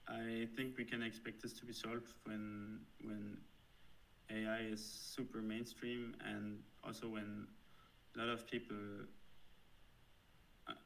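Low flat German-accented man. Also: gravelly, slight background noise, poor audio. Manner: thoughtful, halting with fillers and restarts. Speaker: software developer guest. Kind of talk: interview answers.

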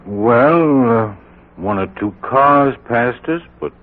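An actor playing a hesitant, distracted married man in a 1940s radio drama, speaking haltingly. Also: mid-range voice, lively intonation. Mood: thoughtful recalling. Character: wealthy married kidnap victim, hesitant and distracted. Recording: narrow-band 1940s radio recording.

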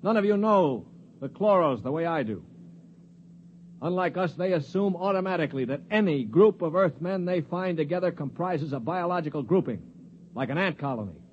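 An actor playing a cynical, sardonic spacer in a 1950s radio drama, with a dry, commanding delivery. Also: male voice, a little high, lively intonation, moderate pace, slight background noise, natural voice. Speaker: narrator and lead. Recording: dull old radio broadcast.

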